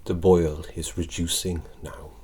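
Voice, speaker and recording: A man with a Welsh accent voicing a scheming old alchemist in an ASMR roleplay. He speaks softly in archaic thee-and-thou speech and sometimes slips into whispers. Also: low voice, level tone, a little slow, breathy edge. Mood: soft, coaxing. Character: scheming old male court alchemist, wretched and sinister.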